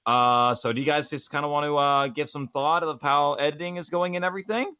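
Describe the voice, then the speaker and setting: Man hosting, bright but muffled call. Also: a little fast, dry recording. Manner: rambling, repeats phrases. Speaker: podcast host. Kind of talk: casual chat. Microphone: voice call mic, close. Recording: muffled low-fidelity voice chat call.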